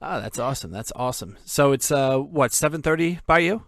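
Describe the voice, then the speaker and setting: A man's voice: medium pitch, animated, fairly quick, no room sound. A man from New Jersey hosting a podcast, chatting casually over a call, laughing often and telling stories full of fillers. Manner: laid-back, laughs often, filler-heavy storytelling. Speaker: podcast host. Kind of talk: casual interview chat.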